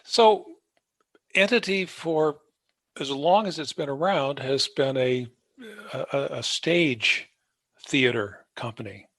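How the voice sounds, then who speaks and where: A man's voice: mid-range voice, slow, a bit bright, no room sound. A man hosting a podcast, interviewing a guest in a casual, conversational way.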